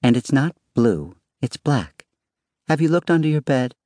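Man voicing a serene, wry wife, pitched far up. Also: big pitch swings, measured pace, warm tone, no room sound, clean studio sound. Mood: serene, teasing. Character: calm, teasing, self-possessed middle-aged wife.

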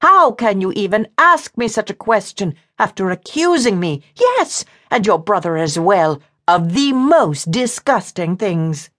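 A woman voicing a headstrong young Regency lady, snappy and defiant, with a quick temper and old-fashioned wording. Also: fairly low pitch, expressive, voice pushed up. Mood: furious. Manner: emphatic, snappy, defiant, archaic polite wording.

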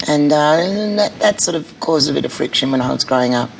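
A middle-aged woman with a husky voice, speaking bluntly and candidly as an interview guest. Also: sits low, animated, a little fast, slight background noise, rough recording. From Australia. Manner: blunt, candid, laughs easily. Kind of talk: casual chat.

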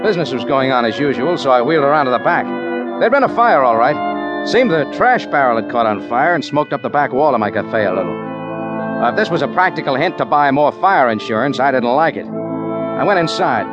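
A man's voice: mid-range voice, animated, brisk. Male lead narrating hardboiled noir, clipped and wry, old radio sound with music under. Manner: laconic, wry, clipped sentences. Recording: dull 1940s radio recording with music.

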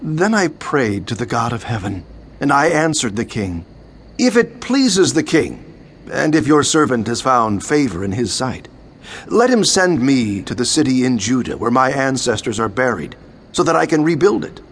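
Earnest devout Nehemiah. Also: male voice, mid-range voice, very animated, normal speed, slight background noise. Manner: earnest, declamatory. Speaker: first-person narrator. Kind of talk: dramatized audio Bible narration.

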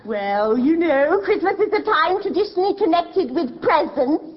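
A woman's voice: very high-pitched, expressive, moderate pace, highs cut off. A sugary greedy young girl. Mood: wheedling. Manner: sugary, wheedling.

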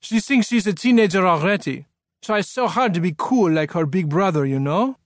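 A man voicing a warm, sincere middle-aged father and scientist with a French accent, courteous, as an audiobook character. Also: high voice, lively intonation, moderate pace, no room sound, clean studio sound, pitched above the performer's own voice. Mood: apologetic, polite. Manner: earnest, courteous.

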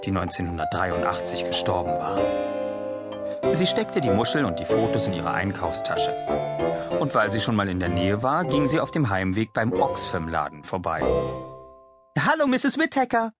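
Audiobook narrator, man in his forties, lowish register, animated literary reading, prominent music underneath. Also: moderate pace. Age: 40s.

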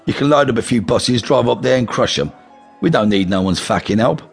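Brief: contemptuous gangster twin, voiced by a male narrator; blunt, foul-mouthed, husky. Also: mid-range voice, lively intonation, a little fast, soft background music, some chatter behind, pitched above the performer's own voice.

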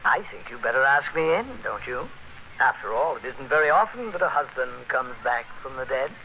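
A smug blackmailing husband in an old radio thriller. His voice is bright and very animated, mocking people with suave courtesy. The vintage recording is dull with faint noise. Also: medium pitch, fairly quick. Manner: suave, sardonic, mock-courteous. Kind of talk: 1940s radio thriller acting.

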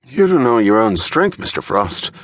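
A man acts out a henchman boxer in a thriller audiobook. He is wary, menacing and blunt, his street-tough talk raised in pitch and recorded bone dry. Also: sing-song, normal speed, no room sound. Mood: protesting.

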